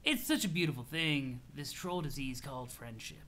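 Casting: man performing a mellow stoner troll for a game, pitched under the livelier roles, awed and rambling. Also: expressive. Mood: dreamy, laid-back. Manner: slow-witted, rambling, sweary, dreamy.

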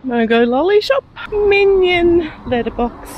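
A woman, narrating a casual travel vlog in a relaxed, chatty way while touring outdoors. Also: extremely high pitch, unhurried, lo-fi sound, heavy background noise. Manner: chatty, relaxed tour guiding. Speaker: travel vlogger. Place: outdoors touring a town and wildlife park.

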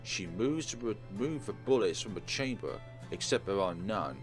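A man's voice: lowish voice, expressive, normal speed. A man hosting a let's play, reading a visual novel aloud dramatically with casual commentary. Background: game music.

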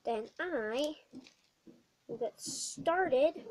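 A young girl with a bad cold and a croaky voice, chatting through a craft tutorial vlog in a small bedroom, rambling with many ums. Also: high-pitched, very animated, extremely slow, a little hiss or hum. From not American. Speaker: craft vlogger. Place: small dim bedroom indoors.

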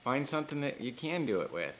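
A woman behind the camera in a home kitchen, gently teasing and encouraging her children as she films. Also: very deep voice, sing-song, fast, a little hiss or hum. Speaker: parent filming home video. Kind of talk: casual family chat. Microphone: camcorder mic, speaker behind camera.

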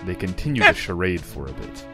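A man's voice: low-pitched, very animated, fairly quick, loud music behind the voice. A man narrating fan fiction, reading out the stage directions.

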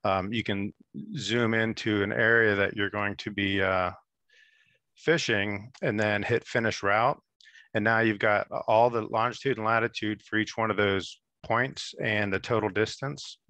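A man in his thirties walks through a software demo over a video call, easygoing and full of fillers. His voice sits low but sounds bright. The headset sound is dry and close. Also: unhurried, no room sound. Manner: relaxed, explanatory, many fillers. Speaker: app demonstrator. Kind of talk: screen-share software demo.

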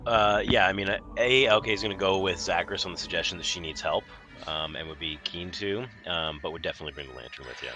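A man's voice: sits low, quick pace. A man talking casually and hesitantly as a player in a tabletop game. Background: background music.

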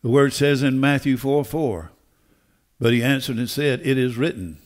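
An older man preaching a sermon in an emphatic, deliberate way, repeating phrases for weight. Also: mid-range voice. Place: church auditorium stage. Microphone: headset.

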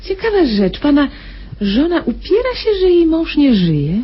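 An actress playing a sweetly patronizing, inquisitive private nurse in a radio drama, chatty, coy and cooing. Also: female voice, high voice, wildly swooping pitch, normal speed. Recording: dull radio recording with faint noise.

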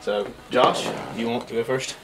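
A man's voice: mid-range voice, moderate pace, music in the background. A man in his thirties hosting an indoor game with casual, competitive, joking banter in a warehouse office. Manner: animated, excitable, joking. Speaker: host and player in an office golf challenge. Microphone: far camera across the room. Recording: distant camera mic, loud noise, clicks.

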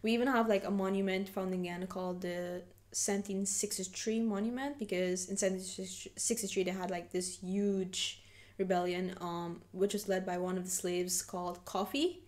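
A woman's voice: mid-range voice, fairly even intonation, normal speed, darkish tone. A young woman from Guyana with a Guyanese accent, a chatty podcast guest who talks casually over a remote call. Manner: chatty, laughs often, acts out quoted reactions. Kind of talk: casual conversational interview.

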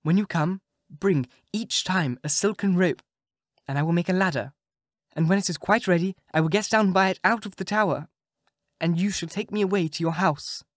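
A narrator voicing a sheltered, naive young maiden in a storybook reading, speaking softly and innocently. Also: medium pitch, normal speed, no room sound, clean studio sound, voice pushed up, natural voice. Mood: earnest.

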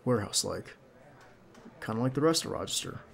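A man playing a nervous, devoted bodyguard on a date in an intimate ASMR roleplay, speaking softly and hesitantly with some gentle teasing. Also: lowish voice, animated, fairly quick. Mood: casual, attentive. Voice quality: creaky. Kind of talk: ASMR roleplay acting, intimate one-sided dialogue.

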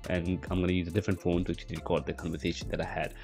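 A young man hosting a casual software tutorial, repeating words and checking in with "right?" as he talks from a small room. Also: low-pitched, flat delivery, racing, creaky, faint music behind. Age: young adult. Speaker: tutorial host. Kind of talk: screen-share software tutorial.

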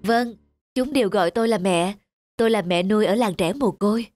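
A woman with a soft, gentle voice, voicing several characters in a cartoon. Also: medium pitch, brisk. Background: music.

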